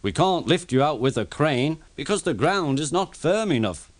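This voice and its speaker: A man's voice: fairly high pitch, very animated, normal speed, voice pushed up. A man voicing a stout, bossy railway controller in a stern, authoritative storybook manner.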